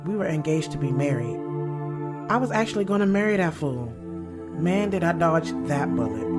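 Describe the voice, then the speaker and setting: A woman's voice: low voice, natural voice. An actress with an American accent playing a blunt, sarcastic young woman writer, narrating her love life in a slangy, conversational audio drama style. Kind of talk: first-person scripted narration in an audio drama. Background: music under the voice.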